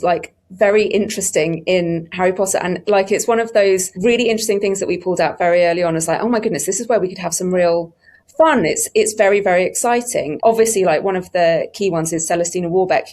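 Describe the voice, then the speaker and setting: A woman's voice: lowish voice, quick pace, a little mellow. Enthusiastic woman speaking on a panel. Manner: effusive, emphatic, conversational. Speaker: audiobook production team member on a panel. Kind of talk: enthusiastic panel interview talk.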